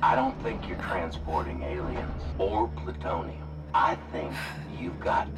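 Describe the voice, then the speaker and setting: A man's voice: a bass voice, expressive, measured pace, background noise. A man playing an urgent, protective, conspiracy-minded ally in an audio drama, speaking with dramatic emphasis. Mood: suspicious. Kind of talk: naturalistic audio drama acting.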